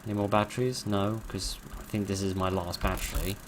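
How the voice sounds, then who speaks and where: A hoarse man giving dry, wry, rambling commentary while playing a horror game at his computer. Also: low voice, fairly even intonation, a little fast, noisy background. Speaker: gameplay commentator. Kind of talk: let's play commentary.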